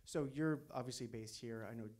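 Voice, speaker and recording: A man's voice: mid-range voice, a little fast, darkish tone. A man moderating a film panel on a theater stage with a handheld mic, asking long questions he rephrases as he goes. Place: theater stage before an audience.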